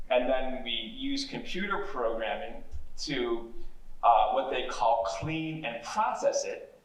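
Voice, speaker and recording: Man lecturing in an echoey room. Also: mid-range voice. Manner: measured, explanatory, encouraging. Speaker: university professor giving a public talk. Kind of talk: presentation to young people. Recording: echoey room, distant mic.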